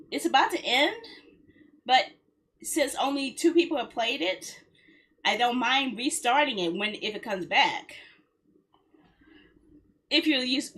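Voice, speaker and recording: A woman chats casually as a game streamer on a livestream. Her voice sits a little high and is bright, with a husky edge. She rambles along in a playful manner.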